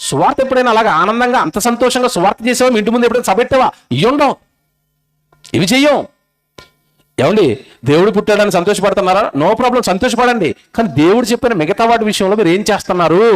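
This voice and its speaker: A man preaching an impassioned sermon to a gathering, scolding and exhorting listeners with rhetorical questions. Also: very high voice.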